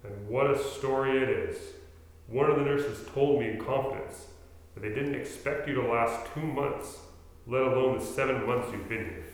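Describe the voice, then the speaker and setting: A man's voice: mid-range voice, moderate pace, natural voice. A man playing a caring 58-year-old hospital visitor in a radio play. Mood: gentle, caring. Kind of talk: stage-style radio play acting. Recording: echoey hall with long reverb.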